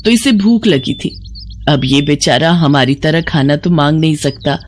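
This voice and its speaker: A narrator voicing a gentle, forgiving elderly village mother-in-law with patient, soothing speech in an animated moral story. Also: mid-range voice, normal speed, a little hiss or hum, natural voice.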